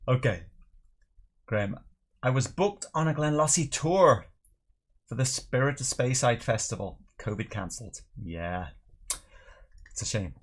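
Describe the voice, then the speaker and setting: A man's voice: lowish voice, a bit bright. A middle-aged man, chatting casually and jokily as he hosts a live tasting show and reads out viewer comments. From Northern Ireland and England. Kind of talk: casual livestream chat, reading out viewer comments.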